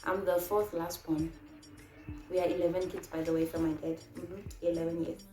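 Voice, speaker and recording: A woman's voice: on the low side, level tone, moderate pace, dark tone, reverberant, faint music behind. A woman in her twenties from Namibia, answering viewer questions in a casual, chatty vlog and telling stories with many fillers. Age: 20s. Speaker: vlogger.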